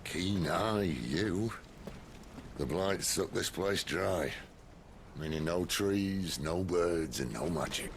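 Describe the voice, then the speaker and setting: Cid, a gravelly outlaw. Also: male voice, deep voice, noisy background. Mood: wry. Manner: dry, wry and unhurried. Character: worldly gruff male outlaw leader, middle-aged. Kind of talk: video game cutscene acting. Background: orchestral game score.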